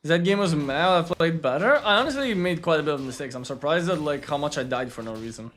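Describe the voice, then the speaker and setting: Relaxed young man with a Greek first language. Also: a little high, fast, slight background noise. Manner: relaxed, filler-heavy, lots of "like". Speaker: streamer. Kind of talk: casual gaming commentary, answering chat.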